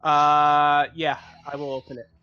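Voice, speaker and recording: A man's voice: fairly high pitch, monotone, a little slow, bright, forceful tone, clear, resonant tone. A man playing a tabletop roleplaying game, casually voicing what his character says and does. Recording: dull, lo-fi voice audio.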